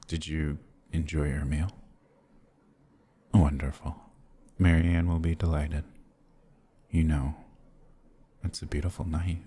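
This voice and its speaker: Man acting a very deep, husky vampire lord. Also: exaggerated voice. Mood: admiring, warm. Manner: gentle, formal, halting speech. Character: courteous, protective, brooding male vampire lord. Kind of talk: intimate ASMR roleplay acting.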